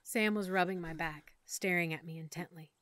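A woman narrating a first-person audiobook story in a measured, emotional way that sometimes drops to a hush. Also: fairly low pitch, fairly even intonation. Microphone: close studio mic.

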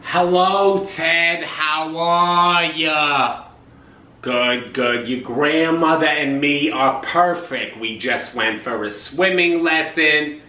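Man doing a slow old grandpa, roomy room. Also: a little high, pushed and bright, voice pushed down. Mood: genial. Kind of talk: comic character monologue. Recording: roomy kitchen, distant camera mic.

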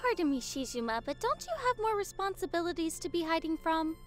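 A very high, lively voice, voiced by a woman, gives a schoolgirl who stays composed and dryly polite. Soft music runs beneath her. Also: a little fast. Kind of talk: fan dub acting.

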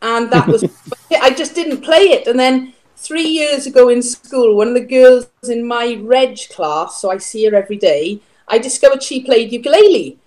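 Welsh lady teacher, chatty and friendly, slightly high voice, talking over a webcam at home. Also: moderate pace, darkish tone, poor audio. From Wales. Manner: chatty, friendly, explains at length. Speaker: music teacher and guest. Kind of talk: casual interview chat. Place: home room, indoors. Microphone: video call webcam.

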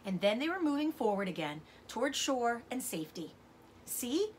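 A middle-aged woman reading a children's novel aloud as a teacher does, with expressive narration. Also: a little high, brisk, slight background noise. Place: indoors, room with bookshelves.

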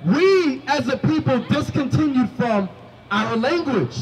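A young man with a very high voice preaches on a busy city sidewalk, microphone in hand. He quizzes the passersby and climbs into commanding shouts. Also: expressive, a little slow, a little hiss or hum, poor audio. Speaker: street preacher. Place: city sidewalk by a food cart. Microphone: handheld microphone.

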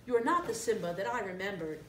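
A woman's voice: mid-range voice, animated, quick pace, far from the mic, slight background noise, close to an everyday voice. A woman voicing an earnest young female lion in a storybook, insistent and pleading, recorded in a roomy space. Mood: urgent, pleading.

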